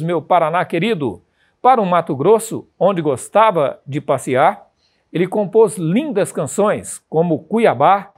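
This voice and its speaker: A man's voice: high-pitched, a little mellow. A man in his fifties with a Brazilian accent, presenting a documentary in scripted narration. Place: indoors in front of a printed backdrop.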